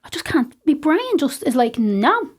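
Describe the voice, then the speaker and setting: A Dublin woman, a singer, chatting as a podcast guest in an animated way, with a high voice. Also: measured pace. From Ireland. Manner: chatty, animated, says "like" and "do you know what I mean" often. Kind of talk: casual conversation.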